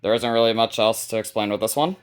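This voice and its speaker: A man with a fairly low voice presents items from his collection in a casual, unscripted way. His delivery is informal and somewhat halting, like a hobbyist host talking as he goes. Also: clean tone. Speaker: hobbyist video host showing a radio collection. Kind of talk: casual unscripted product showcase.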